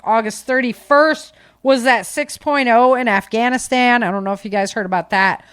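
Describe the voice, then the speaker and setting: A woman's voice: medium pitch, clear, resonant tone, dry recording, clean studio sound. A woman podcast host casually reading through disaster news, with informal, chatty asides to listeners.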